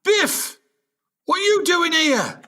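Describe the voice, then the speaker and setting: A man playing a high, blustering jazzman dad. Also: big pitch swings, slow, bright, forceful tone, exaggerated voice. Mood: flustered, evasive. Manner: theatrical, blustery, stammering when cornered. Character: blustering, evasive jazzman father, excitable and indignant. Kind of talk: comic radio play acting.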